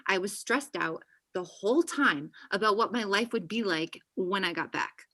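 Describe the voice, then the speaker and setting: A woman's voice: medium pitch, moderate pace, a bit bright, lightly acted. A young woman acting a blunt, defensive friend in a table read over a video call. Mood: hurt. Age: young adult.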